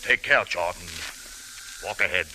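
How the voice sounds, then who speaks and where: An actor playing a menacing foreign killer obsessed with gold, speaking formally and deliberately in an old radio drama. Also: male voice, low-pitched. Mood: cold menace. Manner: formal, deliberate, taunting phrasing. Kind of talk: 1940s-50s radio drama acting. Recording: hissy, crackly old radio recording.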